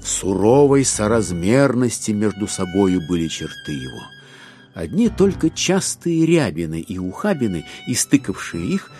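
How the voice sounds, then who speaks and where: A man narrating a literary audio drama in an expressive, theatrical and wry manner. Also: mid-range voice.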